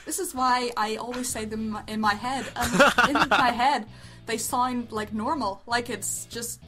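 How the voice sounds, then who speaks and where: Female review co-host, high voice, giggly and unsure of herself, unscripted chat with music underneath. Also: steady tone, unhurried, fairly bright tone. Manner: giggly, tentative, self-deprecating. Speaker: amateur web show review co-host.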